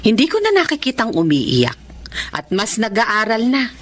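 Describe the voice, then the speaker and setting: A woman's voice: fairly high pitch, wildly swooping pitch, moderate pace, a bit bright, full character voice. An actress with a Filipino accent playing a caring, chatty middle-aged mother in a radio drama. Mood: friendly, relieved.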